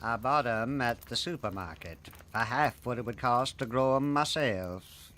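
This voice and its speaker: A man playing a gruff, stingy cartoon farmer who talks in a deadpan, folksy way with morbid relish. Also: medium pitch, unhurried, a little hiss or hum. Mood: folksy, blunt. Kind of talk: animated cartoon acting. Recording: music behind the voice.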